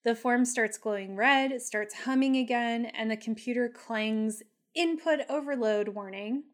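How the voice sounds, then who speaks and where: A woman's voice: on the high side, measured pace. A woman co-hosting a casual TV recap podcast, speaking bluntly and emphatically and swearing freely. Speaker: podcast co-host. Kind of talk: casual TV episode recap and commentary chat.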